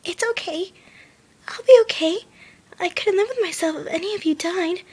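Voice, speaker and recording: A woman puts on a very high, soft and whispery voice for a young female pegasus pony. She is timid and gentle, speaking hesitantly and selflessly in a fan story reading. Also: normal speed. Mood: resigned.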